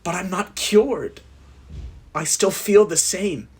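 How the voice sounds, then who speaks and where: A man's voice: sits high, slow. A man playing an anguished, defiant young man in a horror audio drama, speaking with emotional intensity. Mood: angry. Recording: echoey, with music behind.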